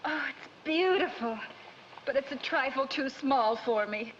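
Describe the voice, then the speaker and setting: High-voiced, coy young princess. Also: female voice, lively intonation, measured pace, slight background noise. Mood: airy. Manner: coy, airy. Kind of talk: old fairy-tale film acting. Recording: dull old film soundtrack.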